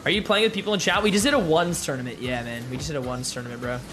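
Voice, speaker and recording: A young male streamer with a somewhat high voice talks in a loose, relaxed way, full of fillers and easy asides to his chat. A faint hiss sits under his voice from the home setup. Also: racing. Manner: laid-back, filler-heavy, reads chat aloud. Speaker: gaming streamer. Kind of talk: casual live-stream chat.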